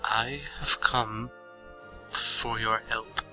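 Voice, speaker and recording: A proud, ancient vampire lord, acted out by a narrator in a fantasy audio drama. He speaks very slowly and formally, with an intensely sharp tone and faint music beneath. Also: medium pitch, little pitch movement, voice pushed down, full character voice. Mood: grudging. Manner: measured, mock-humble, formal.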